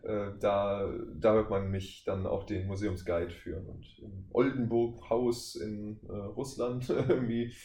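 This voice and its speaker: Low-voiced young man chatting in a roomy space. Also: little pitch movement, unhurried, hardly any pauses, warm tone. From Wuppertal, Germany. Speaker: voice actor, podcast guest. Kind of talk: casual interview chat. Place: indoor room shared with the hosts. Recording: roomy echo, faint background noise.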